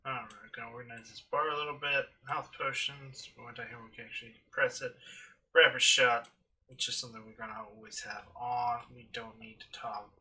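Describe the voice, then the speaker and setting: A man's voice: medium pitch, steady tone, brisk, bright, forceful tone, poor audio. A man giving casual, irreverent let's play commentary, talking to himself as he plays at a desk microphone. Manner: offhand, irreverent, talks to himself while playing. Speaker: game streamer.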